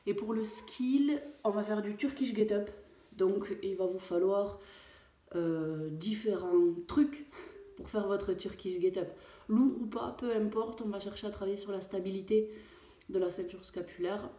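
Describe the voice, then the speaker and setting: Slow-talking coach, echoey room. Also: female voice, mid-range voice, mellow. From France. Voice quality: hesitant, many fillers. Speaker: fitness coach. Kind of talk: home workout instruction. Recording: echoey room, voice far from mic.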